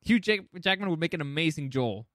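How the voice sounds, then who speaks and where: A man's voice: on the high side, animated, brisk, a bit bright, dry recording, studio quality. A man hosting a casual movie chat, opinionated and emphatic, swearing freely. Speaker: podcast host.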